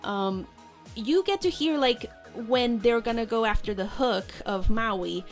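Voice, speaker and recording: A woman chatting casually as a podcast guest, a video editor who talks in long, animated, rambling stretches. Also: fairly high pitch, unhurried, faint music behind.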